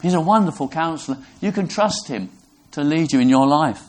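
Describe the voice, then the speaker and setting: A man from Brixham, England, preaching a sermon in an earnest, halting way, often restarting his words. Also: a little high, expressive, darkish tone. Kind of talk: sermon to a congregation.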